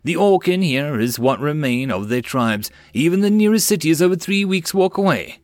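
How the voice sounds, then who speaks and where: A man's voice: a little high, lively intonation, normal speed, dry recording, voice pushed up. A man voicing a lanky, desperate middle-aged male merchant who pants and stammers as he pleads.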